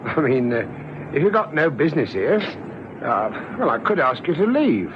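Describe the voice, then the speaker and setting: Hesitant, lively-voiced nervous caretaker. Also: male voice, fairly high pitch, moderate pace. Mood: awkward, concerned. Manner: hesitant, stammering, coaxing. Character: nervous, well-meaning married male block caretaker. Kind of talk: old radio drama acting. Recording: muffled old radio recording, faint noise.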